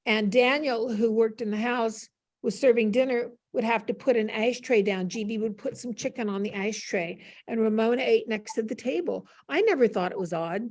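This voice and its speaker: A woman's voice: on the high side, moderate pace, darkish tone. Older female panel guest, professor type; chatty, self-deprecating storyteller on a video call. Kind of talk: casual anecdotal storytelling. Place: classroom.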